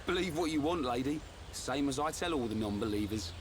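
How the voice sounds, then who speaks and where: An actor playing a brash, loyal young fighter in a video game, blunt and cocky. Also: male voice, mid-range voice, lively intonation, fairly quick, a little hiss or hum, natural voice. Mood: defiant.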